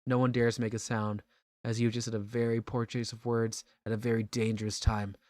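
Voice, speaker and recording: A man's voice: mid-range voice, level tone, fairly quick, a little mellow, no room sound, voice pushed down, natural voice. A man voicing a drunk, menacing brawler at a bar, brooding and ominous, in a mock-dramatic comedic reading. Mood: brooding menace. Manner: slurred, brooding, ominous storytelling in first person.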